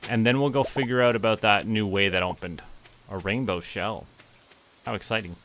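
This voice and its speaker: A man's voice: fairly low pitch, normal speed, a bit bright. A man, playfully thinking aloud and narrating what he does as he streams a game. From Canada. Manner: playful, narrates own actions and reads game text aloud.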